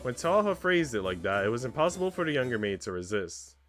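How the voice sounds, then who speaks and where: A man's voice: medium pitch, sing-song, quick pace, fairly bright tone. A man reading the narration of a visual novel aloud on a livestream, in a storytelling style. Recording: music playing behind the voice. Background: background music.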